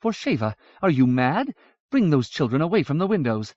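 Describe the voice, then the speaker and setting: Man voicing stern older noblewoman, cross and fretful, raised pitch, dry lo-fi audio. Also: big pitch swings, moderate pace, a little mellow, no echo. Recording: dull lo-fi audiobook recording.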